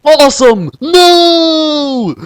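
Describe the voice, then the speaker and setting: A man voicing an earnest, angry young soldier pilot, exclaiming forcefully in a story reading. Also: extremely high pitch, expressive, very slow, no room sound, voice pushed up, exaggerated voice. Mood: horrified. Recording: music playing behind the voice.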